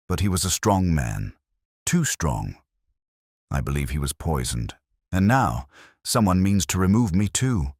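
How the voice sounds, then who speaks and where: A man's voice: low voice, husky, no echo, clean studio sound, heavily acted. A man voicing a tense, guarded society widow, a frightened woman, in an audiobook. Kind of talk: audiobook character dialogue.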